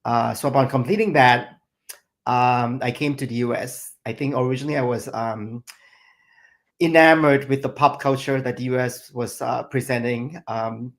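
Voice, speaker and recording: A man's voice: medium pitch, fairly even intonation, moderate pace. A middle-aged man from Thailand and Singapore, a chef answering interview questions in a reflective way, with many fillers. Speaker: chef and guest.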